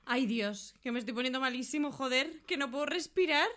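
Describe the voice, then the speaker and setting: A woman's voice: high-pitched, fairly quick, fairly bright tone. A woman with an accent from Spain voicing a hapless, bewildered, flustered man in an amateur comic radio play. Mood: panicked. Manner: flustered, colloquial. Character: panicky, bewildered man, foul-mouthed and hapless.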